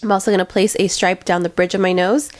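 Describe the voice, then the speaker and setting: A woman's voice: medium pitch, fairly even intonation, quick pace, no echo. A young woman narrating a makeup tutorial in a chatty, step-by-step way, her voice nasal from congestion. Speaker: beauty vlogger.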